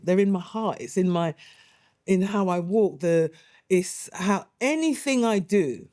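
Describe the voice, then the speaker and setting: Warm, lively actress reminiscing. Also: female voice, on the low side, dry recording, studio quality. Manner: animated, laughing often, chatty. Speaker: actress and playwright. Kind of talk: interview, reminiscing.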